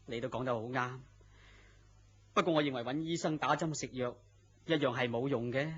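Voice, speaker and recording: A man's voice: mid-range voice, normal speed, husky, lightly acted. A man with a Hong Kong Cantonese accent playing a weary, melancholy, lovesick young man in a radio drama, earnest and plaintive. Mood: weary, despondent. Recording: dull lo-fi radio drama audio.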